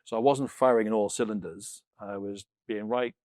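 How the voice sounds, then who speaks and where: A man's voice: lowish voice, steady tone, normal speed, a little mellow, no room sound, clean studio sound. A middle-aged man with a British accent, telling anecdotes conversationally as an interview guest. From United Kingdom. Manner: anecdotal, re-enacts dialogue as he tells it. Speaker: interview guest, former military linguist. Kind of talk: conversational storytelling.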